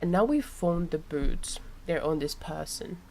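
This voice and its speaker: Woman, casual gameplay commentary, slightly low pitch, slow pace, musing, muttering. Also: animated, creaky, a little mellow, a little hiss or hum. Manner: musing aloud, often muttering under breath. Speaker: game streamer.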